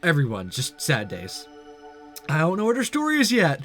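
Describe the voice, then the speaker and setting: A man's voice: a little high, huge pitch swings, normal speed, fairly bright tone. A man hosting a let's play, giving casual commentary and reading narration from a visual novel. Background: music.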